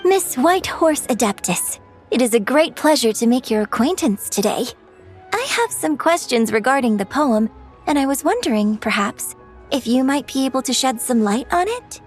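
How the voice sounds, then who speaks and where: A woman's voice: high voice, big pitch swings, moderate pace, faint music behind. A woman playing a polite, eager, well-bred young scholar with courteous, eloquent speech in a video game. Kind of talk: video game cutscene acting.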